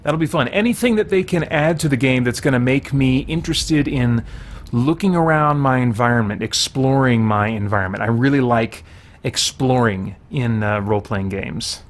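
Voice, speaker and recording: A man giving casual video game commentary, thinking aloud in a halting way as he plays and reviews. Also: medium pitch. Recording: game music behind the voice.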